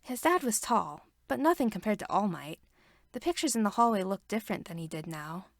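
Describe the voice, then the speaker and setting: Woman podfic reader, steady storyteller, gravelly voice, dry sound. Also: medium pitch, a little fast, no echo. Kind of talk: reading fan fiction aloud.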